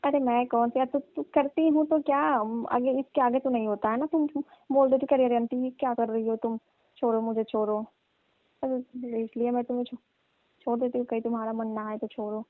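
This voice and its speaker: A woman plays a coaxing village aunt in a comic phone-call skit. Her voice is on the high side, colloquial and teasing, and pushy in her flirting. The sound is thin and dry, like a recorded phone call. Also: steady tone, a little fast, no echo, close to an everyday voice.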